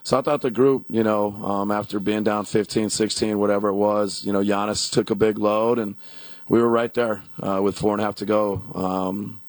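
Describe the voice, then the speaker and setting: A basketball coach answers reporters haltingly, stopping often for fillers. His voice is low and flat. The sound is dry and close. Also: no room sound. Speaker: basketball coach at a press conference.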